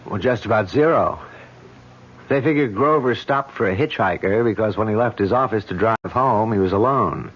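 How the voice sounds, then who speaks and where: Radio-play detective: a breezy, cocky cop, dull old recording. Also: male voice, on the low side, normal speed, fairly bright tone, husky. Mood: fond. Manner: jokey, self-assured. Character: cocky male police detective. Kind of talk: 1970s radio drama acting.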